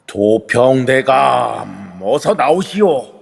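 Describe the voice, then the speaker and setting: A man playing a stiff, halting character who confronts ghosts in an amateur audio drama. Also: mid-range voice, very animated, gravelly, breathy edge, full character voice. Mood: commanding. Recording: long reverb throughout.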